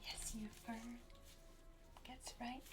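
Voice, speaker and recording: A woman doing an ASMR roleplay as a pet groomer, whispering softly and breathily in a gentle, reassuring, encouraging manner. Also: slow pace, over music.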